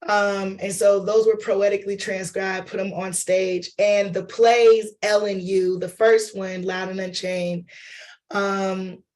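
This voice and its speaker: A man's voice: high voice, little pitch movement, slow, a little mellow. A man with a cold, losing his voice, giving a loose, chatty workshop talk as a playwright. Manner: chatty, loose, addresses audience as y'all and honey. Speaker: lecturer and playwright.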